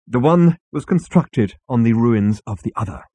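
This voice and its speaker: A man voicing a polite, formal alien waiter who speaks slowly and deferentially. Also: mid-range voice, expressive, dark tone, breathy edge, no room sound.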